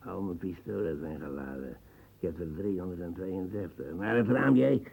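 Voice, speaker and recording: A man's voice: low-pitched, moderate pace, gravelly, a little mellow, breathy edge, heavily acted. An actor playing a paranoid, agitated old man in a radio play, stammering and muttering into whispers. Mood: defiant, threatening. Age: older. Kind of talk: radio drama acting.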